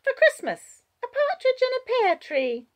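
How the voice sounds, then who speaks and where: A woman voicing a small, innocent girl who is earnest and anxious, in a high childlike voice, in an audiobook story. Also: big pitch swings, a little slow, heavily acted. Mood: confiding.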